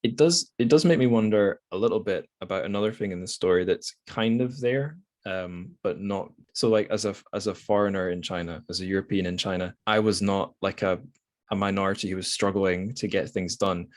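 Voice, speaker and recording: A man with a notably low voice talks casually as a podcast host. He feels his way through thoughts about a book, hesitating, doubling back and rewording as he goes. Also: brisk, darkish tone. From Scotland. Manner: hesitant, self-correcting, rambling.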